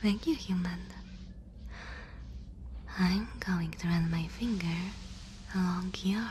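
A woman's voice: on the low side, slow pace, darkish tone, a little hiss or hum. A woman playing a polite, clinical, curious female alien in an ASMR roleplay, whispering in a formal, careful way. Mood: gentle, careful. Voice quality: breathy whisper.

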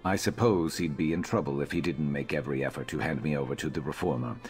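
A sardonic lizard-man tracker from a fantasy game, speaking in an extremely low voice. He is gruff, dry and disdainful in his deadpan delivery. Faint music plays behind him. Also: fast. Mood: musing. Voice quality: very deep voice. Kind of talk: video game character dialogue.

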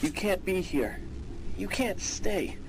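A commanding cartoon dog toy, urgent and anguished. The pitch swings hugely over noisy audio. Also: very animated, slow. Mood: desperate. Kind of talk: children's toy character voicelines.

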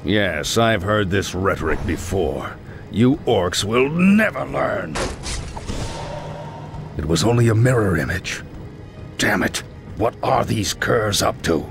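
An actor playing a stern, fatherly veteran paladin commander in a fantasy game, speaking with measured authority. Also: on the low side, very animated, vocal fry, soft background music, full character voice. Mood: angry, exasperated. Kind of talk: fantasy video game cutscene acting.

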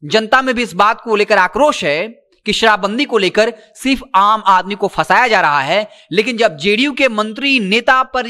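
High-pitched man presenting news. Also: moderate pace, sharp tone. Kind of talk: news commentary, reporting to camera. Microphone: handheld microphone.

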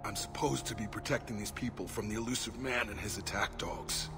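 An actor playing a stoic, easygoing soldier in a video game, talking in a casual, understated way. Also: male voice, fairly low pitch, fairly quick, background noise, close to an everyday voice. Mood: strained, stoic. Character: wounded male soldier protector, adult, stoic, wryly easygoing. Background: game music.

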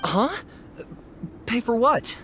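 An actor voicing an eager, nervous young rookie adventurer in an anime game. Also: male voice, extremely high pitch, big pitch swings, slow, bright, forceful tone, slight background noise, exaggerated voice. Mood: startled, eager. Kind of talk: anime video game voice acting.

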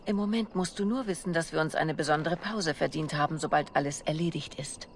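Low-voiced, seductive spy. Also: female voice, brisk, slight background noise. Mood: teasing. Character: seductive, confident female bard spy, playful and scheming. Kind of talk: video game voice acting.

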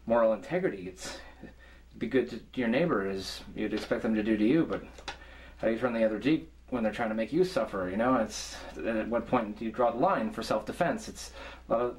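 A man in his forties thinking aloud in a halting, questioning monologue, filmed on a close camcorder in a small room. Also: on the low side. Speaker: amateur filmmaker. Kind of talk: rambling musing monologue to camera. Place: small indoor room with cardboard walls.

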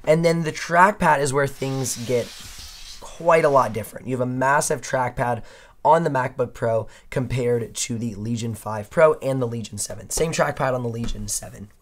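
Hoarse man giving a chatty tech review. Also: medium pitch, moderate pace. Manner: upbeat, chatty, punctuates points with "okay?". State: hoarse, losing his voice. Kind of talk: laptop comparison review. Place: indoor studio desk, dim room.

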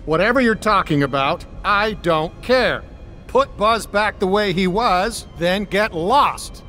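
An actor voicing a loyal, earnest toy cowboy leader who is defiant, in an animated video game. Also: male voice, high voice, slow pace, soft background music, exaggerated voice.

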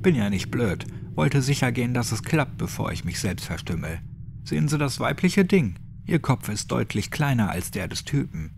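A man voicing a gruff, crude, sadistic old man with a clipped, mocking way of talking, in a horror audiobook. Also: mid-range voice, big pitch swings, moderate pace, a little hiss or hum, pitched above the performer's own voice. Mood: smug.

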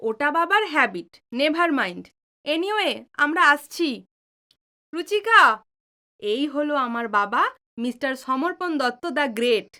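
A woman plays a cheeky young daughter in an audio drama. Her voice is high and bright with bouncy, varied pitch. She speaks in a teasing, headstrong way. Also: very animated, measured pace, pauses often, heavily acted. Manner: teasing, mixes in English.

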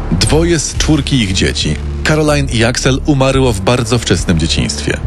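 A man delivers scripted true-crime narration. His voice is medium in pitch with very varied intonation. Music runs clearly underneath.

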